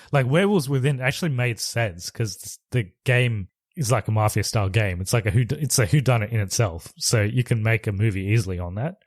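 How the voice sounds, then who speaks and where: A man co-hosting a podcast in casual chat, relaxed and often laughing as he steers the talk. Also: lowish voice, fairly quick, dry recording, studio quality. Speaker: podcast co-host.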